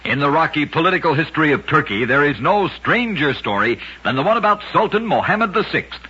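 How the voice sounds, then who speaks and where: A man narrating short, strange tales as a radio host, reading a script with dramatic, deliberate delivery on an old recording. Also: on the high side, big pitch swings, pushed and bright, slightly rough. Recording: dull vintage radio recording.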